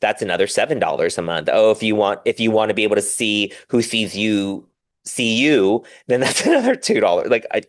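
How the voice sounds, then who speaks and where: A man talking casually and candidly as a podcast guest, animated and rambling, with self-deprecating humor. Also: lowish voice, a little fast. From diaspora Korean, raised in the West.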